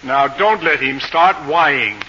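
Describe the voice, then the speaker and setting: A man's voice: medium pitch, lively intonation, measured pace, bright, forceful tone. A man acting a pompous, wordy middle-aged father with a dry, sardonic manner, in 1940s radio style. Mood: dryly sardonic. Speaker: radio drama actor. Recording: dull, faintly noisy old radio recording.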